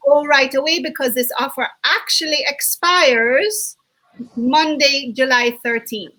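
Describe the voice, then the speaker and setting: A woman co-hosting an online summit on a video call, bubbly and quick to laugh, often correcting herself mid-sentence. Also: high-pitched, bright, forceful tone, rough recording. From Jamaica. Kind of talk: enthusiastic webinar hosting.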